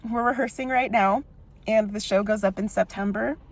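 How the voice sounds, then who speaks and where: A young adult woman with a big voice, telling personal stories in an expressive, emotional vlog style. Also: medium pitch, moderate pace, a little hiss or hum. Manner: expressive and emotional, frequent "like" fillers and laughs. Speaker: vlogger and musical theatre performer.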